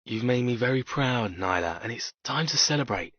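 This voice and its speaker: A woman voicing a doting, proud middle-aged father in an audiobook, affectionate and gently persuasive in manner. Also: extremely low pitch, expressive, normal speed, slightly rough, dry recording, muffled recording, pitched below the performer's own voice, exaggerated voice. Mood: proud, encouraging. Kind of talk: audiobook character dialogue.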